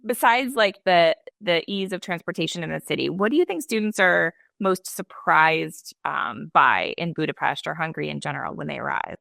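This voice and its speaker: A woman's voice: sits low, animated, moderate pace, creaky, hardly any pauses, fairly bright tone, no echo, clean studio sound. A woman hosting an interview in a chatty, enthusiastic way. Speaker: host. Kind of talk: interview chat.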